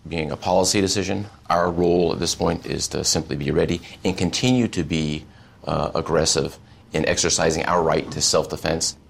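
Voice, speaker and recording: Male US military official, extremely low and husky, firm official phrasing in formal interview answers. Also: fairly even intonation, normal speed. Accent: American. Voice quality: gravelly.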